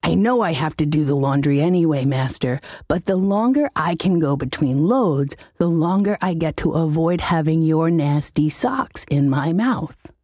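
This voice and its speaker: A woman voicing a bored, cheeky male laundry demon servant in a comic fantasy audiobook, deadpan and formally insolent. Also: low voice, normal speed, few pauses, husky, dry recording, studio quality.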